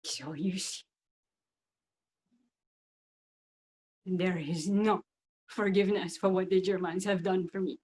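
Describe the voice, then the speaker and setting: A somewhat high-pitched Frenchwoman, played by an actress speaks with a French accent in a dramatic wartime monologue. She is grieving and embittered, and her delivery is halting and full of feeling. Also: close to an everyday voice. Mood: anguished, bitter. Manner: halting, emotional. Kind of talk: theatrical monologue.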